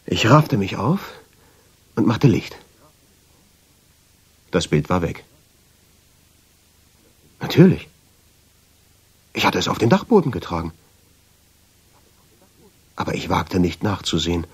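Radio drama lead: uneasy, haunted narrator, deep and husky, brooding, with a widely moving melody. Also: male voice, expressive, takes it slowly, long pauses, exaggerated voice. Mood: reflective, uneasy. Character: haunted, uneasy man recounting his obsession with a portrait. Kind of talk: radio drama first-person narration and dialogue.

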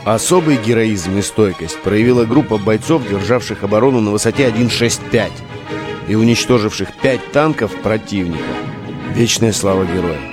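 A man's voice: on the low side, moderate pace, music in the background, close to an everyday voice. A man playing a cynical but gutsy relic hunter stranded in wartime, with a wry, colloquial, commanding way of talking. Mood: earnest storytelling. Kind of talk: Russian audio drama acting.

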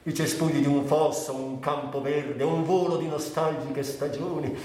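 An actor playing an anguished, bitterly ironic sick man in a theatrical radio monologue, speaking in broken, lyrical phrases with long pauses. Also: a little high, steady tone, darkish tone, natural voice. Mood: mournful, lyrical. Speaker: stage actor and director. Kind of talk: dramatic literary monologue for radio. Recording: very echoey, distant, with faint hiss.

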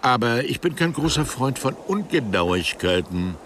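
An actor playing an eccentric, precise biologist who speaks in a deliberate, philosophical way in a German radio play. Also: male voice, medium pitch, big pitch swings, a bit bright, slight background noise. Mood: coolly musing. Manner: deliberate, pedantic, musing.

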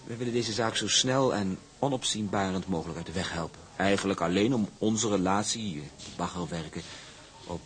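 A man playing a smug young shipping heir in a radio drama, speaking with formal, oily politeness and measured hesitations. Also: low voice, a bit bright. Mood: indignant, pompous. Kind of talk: Dutch radio drama acting.

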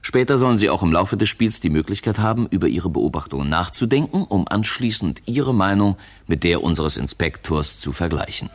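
Deep, husky vintage radio narrator. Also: male voice, expressive. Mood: formal. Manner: measured, formal announcer delivery. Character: formal male narrator introducing a radio whodunit. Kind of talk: 1960s German radio narration.

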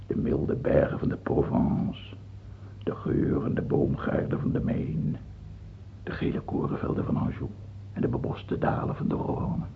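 A man's voice: very deep voice, vocal fry, slight background noise, full character voice. An actor playing a scheming, imperious aging French king in a radio play, theatrical, moving between murmured musing and scornful outbursts. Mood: brooding passion. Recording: dull, muffled old radio recording.

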